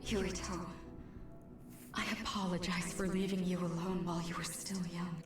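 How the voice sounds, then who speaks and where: A woman voicing a computer system that poses as a gentle mother, pleading solemnly in a game cutscene. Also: lowish voice, level tone, normal speed, close to an everyday voice. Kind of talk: dramatic game cutscene acting. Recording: echoing voice under loud music.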